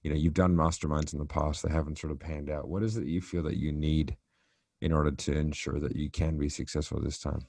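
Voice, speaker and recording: A man's voice: very deep voice, flat delivery, a little fast, vocal fry, darkish tone, dry recording, studio quality. A man on a recorded sales coaching call, talking conversationally and asking probing questions. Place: recorded call, roomy sound.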